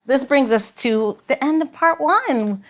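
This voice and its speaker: A woman hosts a podcast with casual, conversational delivery. Her voice is a little higher than average. She guides the talk along like a show regular. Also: expressive, measured pace. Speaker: podcast host. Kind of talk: casual hosting chat.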